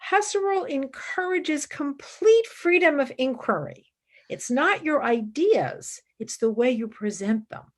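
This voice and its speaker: A woman acting in a radio play as an idealistic, exacting young philosophy student, earnest and expressive. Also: sits high, slow. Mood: reproving.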